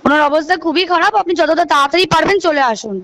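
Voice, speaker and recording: A woman's voice: extremely high pitch, rapid-fire, fairly bright tone, a little hiss or hum. A woman with a Bengali accent giving urgent notice on a recorded phone call as a hospital official, repeating herself and hesitating. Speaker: hospital assistant superintendent calling a relative.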